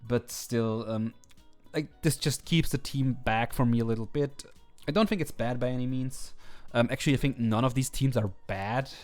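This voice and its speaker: Hesitant man with a slightly hoarse voice. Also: medium pitch, steady tone, moderate pace, hardly any pauses, darkish tone. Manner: hesitant, many fillers and restarts. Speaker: video host ranking teams. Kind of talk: casual commentary. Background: faint background music.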